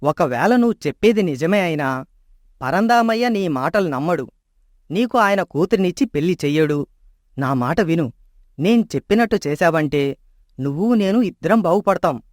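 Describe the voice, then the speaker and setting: A man's voice: fairly high pitch, lively intonation, normal speed, dry recording, clean studio sound, lightly acted. An actor playing a greedy, scheming man in a storybook tale, coaxing and sneering. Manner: persuasive, sneering.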